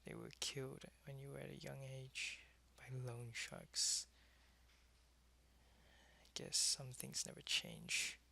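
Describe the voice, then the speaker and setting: A man's voice: mid-range voice, steady tone, slow pace, creaky, long pauses, bright, forceful tone, full character voice. A man playing a world-weary, lonely vampire who was once a human soldier, in an intimate, conversational ASMR roleplay. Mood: gentle, sympathetic.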